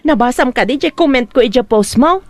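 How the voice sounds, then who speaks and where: A woman with an Ilocano accent playing a flattering, gossipy young confidante in a comedy drama. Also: sits high, normal speed, no echo. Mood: flattering, amused.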